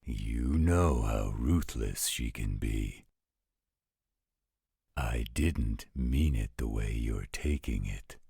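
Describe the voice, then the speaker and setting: An actor playing an overprotective, fatherly werewolf guardian in an audio roleplay, with a gravelly, husky voice and a careful, measured delivery. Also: a bass voice, mellow, dry recording, clean studio sound, full character voice. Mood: concerned, protective. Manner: slow, measured, careful explaining.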